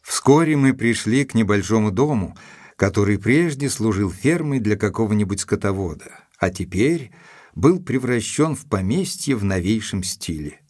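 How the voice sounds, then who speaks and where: An actor playing an observant, courteous gentleman who narrates an audio drama in a measured, literary, polite way. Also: lowish voice, expressive, breathy edge, dry recording, clean studio sound. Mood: observant, narrating.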